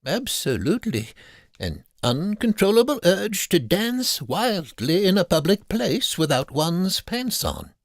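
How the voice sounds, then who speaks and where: A man's voice: sits high, sing-song, measured pace, no room sound, studio quality, full character voice. A man voicing a grave, fussy Victorian physician in a comic audio story, speaking formally and theatrically.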